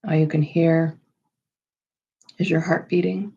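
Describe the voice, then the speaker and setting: A woman leading a guided meditation in a slow, soothing style, with measured, unhurried phrases and pauses. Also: low-pitched, little pitch movement, vocal fry, warm tone, husky, highs cut off.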